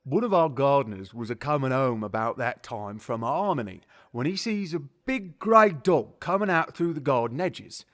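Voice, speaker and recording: A man's voice: medium pitch, lively intonation, moderate pace, hardly any pauses, a bit bright, lightly acted. A man playing a chatty, sly, working-class zookeeper in middle age, rambling folksily in an audio drama. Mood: sceptical. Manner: folksy, colloquial, rambling storyteller. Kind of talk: audio drama dialogue, character storytelling.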